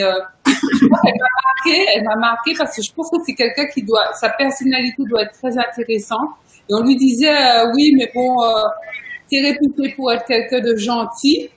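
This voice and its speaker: A chatty woman hosts a relaxed interview, speaking with emphasis and a ready laugh. Her voice sits high, and the room around her rings with echo. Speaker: interview host. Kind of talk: casual video interview chat.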